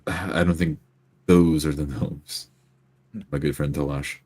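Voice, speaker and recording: A man's voice: a bass voice, fairly even intonation, slow pace, a little mellow, slightly rough, exaggerated voice. A man playing an anxious, courteous adventurer in a tabletop roleplaying game, speaking hesitantly with stammers. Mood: worried.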